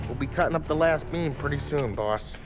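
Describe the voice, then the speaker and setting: An actor playing a brash, scheming, wisecracking American gangster in a video game cutscene, talking in streetwise slang. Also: male voice, fairly high pitch, brisk, lightly acted. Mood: eager persuading. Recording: background music under the voice.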